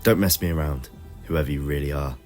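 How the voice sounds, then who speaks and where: A man voicing a troubled, moral time-travelling adventurer who is quick to anger, speaking emphatically in a fan audio drama. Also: rumbling low, darkish tone, faint music behind. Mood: angry. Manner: emphatic, indignant.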